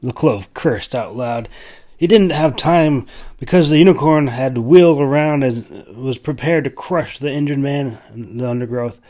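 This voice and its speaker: Guy reading his fantasy novel as an audiobook, medium pitched voice, storytelling. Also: normal speed, warm tone. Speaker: author narrating his own audiobook. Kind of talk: scripted fantasy story narration.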